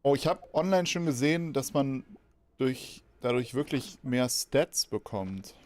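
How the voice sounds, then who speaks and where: Lively man commenting on gameplay. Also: mid-range voice, unhurried. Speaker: game streamer. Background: game music.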